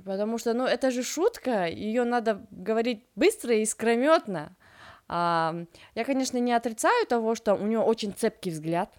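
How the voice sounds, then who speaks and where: A woman's voice: a little high, normal speed. A woman co-hosting a podcast, chatting casually about a book with many fillers and restarts. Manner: hesitant, many fillers and restarts, animated when quoting. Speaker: podcast co-host.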